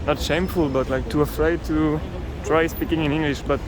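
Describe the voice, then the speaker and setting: A man's voice: a little high, fairly even intonation, brisk. A man interviewing a passerby, asking curious questions with many fillers. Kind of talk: street interview. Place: city street outdoors with traffic. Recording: loud street noise.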